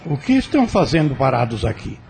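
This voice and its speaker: An actor playing a stern, authoritative landowner in a radio drama, curt and commanding. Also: male voice, mid-range voice, big pitch swings, fairly quick, creaky, a little mellow, soft background music.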